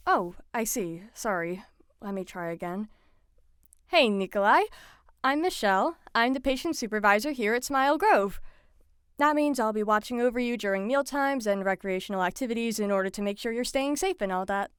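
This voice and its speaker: A woman's voice: a little high, smooth, dry recording, studio quality, natural voice. A woman playing a friendly, slightly awkward patient supervisor in an audio drama, talking in an eager, reassuring way.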